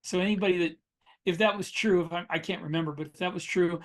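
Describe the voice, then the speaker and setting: A man with a fairly high voice chats as a podcast co-host. He talks casually and wryly, joking his way through points and acting out imagined reactions. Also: fairly even intonation, quick pace. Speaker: podcast co-host and science fiction writer. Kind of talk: casual conversational banter.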